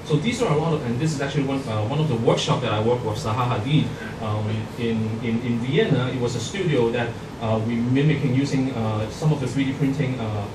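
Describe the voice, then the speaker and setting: A middle-aged man from Hong Kong giving a workshop presentation, speaking haltingly with many fillers and restarts. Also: mid-range voice. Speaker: guest speaker. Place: conference hall. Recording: echoey hall, far from mic, noisy.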